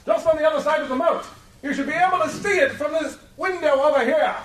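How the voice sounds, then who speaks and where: Pompous comic-adventure hero, very high-pitched, self-assured and theatrical, roomy distant recording. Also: male voice, quick pace, fairly bright tone. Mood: smug. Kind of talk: comic radio play acting. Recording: roomy, distant, crowd noise behind.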